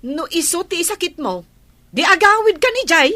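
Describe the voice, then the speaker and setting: An actress with an Ilocano Filipino accent playing a feisty, easily offended village wife in a comedy radio drama. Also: female voice, very high voice, big pitch swings, brisk, bright, forceful tone, full character voice. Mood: aggrieved. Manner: confrontational, questioning.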